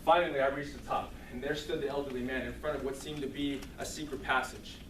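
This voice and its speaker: A man giving a humorous storytelling speech to an audience in a theatrical, deliberate comic manner. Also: mid-range voice, steady tone, fairly bright tone. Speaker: speech contest contestant. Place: room with an audience. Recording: roomy, distant mic, clearly noisy.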